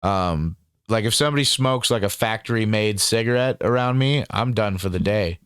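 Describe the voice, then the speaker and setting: A man's voice: lowish voice, moderate pace, fairly bright tone, clean tone, no echo, studio quality. A man hosting a casual interview, rambling and swearing freely, with lots of fillers. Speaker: interviewer and channel host.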